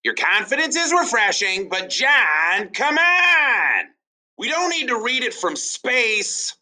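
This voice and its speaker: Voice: medium pitch, sing-song, slow, bright, forceful tone, voice pushed up, full character voice. A storyteller voicing an exasperated schoolteacher scolding a pupil.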